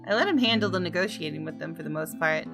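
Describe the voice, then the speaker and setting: A woman's voice: deep voice, animated, quick pace. A woman game streamer reading visual novel text aloud, dramatically and with playful outbursts. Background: game music with guitar.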